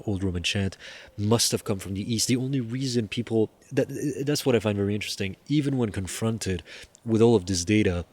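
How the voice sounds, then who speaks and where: A young man explaining music history to camera in a casual, earnest way, rambling and emphatic with many restarts, filmed on a phone. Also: lowish voice. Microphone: phone camera at arm's length.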